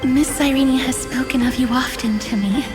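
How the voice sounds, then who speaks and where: A woman playing a gentle, solemn young guardian in a video game, speaking softly, formally and earnestly. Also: high voice, steady tone, lightly acted. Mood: tender, solemn. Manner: soft, formal, unhurried, earnest. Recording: echoey reverberant hall, music behind. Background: music.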